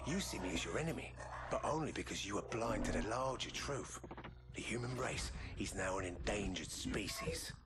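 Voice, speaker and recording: Commanding, menacing soldier over loud music. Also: male voice, fairly low pitch. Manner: grave, deliberate, threatening. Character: commanding, menacing male military soldier branded a traitor. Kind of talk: dramatic video game cutscene acting.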